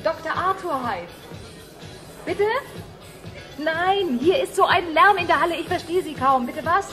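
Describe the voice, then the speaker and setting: High-voiced, flustered, spirited young woman. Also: a bit bright. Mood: anxious, urgent. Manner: hesitant, colloquial, spirited. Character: flustered, persistent, cheeky young woman seeking her father. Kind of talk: naturalistic radio play acting.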